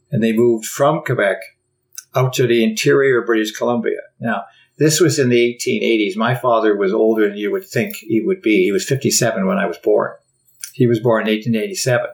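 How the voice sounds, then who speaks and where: An older Canadian man being interviewed, rambling and halting with frequent restarts. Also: lowish voice, gravelly, low-quality recording. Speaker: podcast guest. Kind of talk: casual interview conversation.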